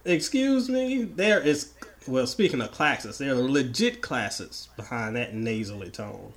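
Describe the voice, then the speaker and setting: A man from the American South co-hosting a podcast, hoarse and raspy from the flu, joking and opinionated in casual chat. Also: mid-range voice, lively intonation, measured pace. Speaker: podcast co-host.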